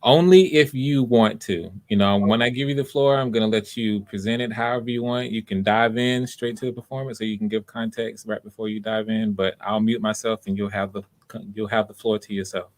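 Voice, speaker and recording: A man with a fairly low voice talks as the host of an online audition. His delivery is casual and encouraging, and he circles back to rephrase his points over a video call. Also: fairly even intonation, brisk, hardly any pauses. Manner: encouraging, repeats and rephrases himself. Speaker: writer-director hosting live auditions. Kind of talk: casual unscripted hosting talk.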